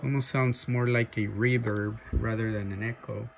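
A man casually showing off a radio he is selling, talking haltingly with many fillers and breaking into loud clipped mic tests. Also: lowish voice, fairly even intonation, gravelly, a crowd in the background. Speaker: seller demonstrating a radio. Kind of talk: casual off-the-cuff product demo. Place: indoor workshop bench.

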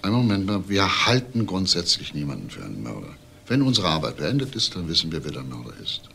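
Lowish male voice: insistent TV crime detective, probing questions, roomy and noisy recording. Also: wildly swooping pitch, quick pace, gravelly, full character voice. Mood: businesslike. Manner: probing, with tag questions and false hesitancy. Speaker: police detective. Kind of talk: naturalistic German TV crime drama acting. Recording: roomy, noisy old TV soundtrack.

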